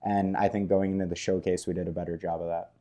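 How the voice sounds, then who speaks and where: A man, a head coach whose first language is Russian, answering in a casual sports interview in an office, relaxed with many fillers. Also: low voice, fast. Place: coach's office at an ice rink.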